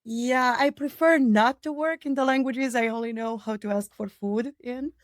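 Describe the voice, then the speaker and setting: A woman's voice: on the high side, normal speed, dry recording, clean studio sound. A middle-aged woman from Romania, her native language Romanian, answering interview questions at length, with emphatic repetitions and long digressions. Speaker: people operations director, guest.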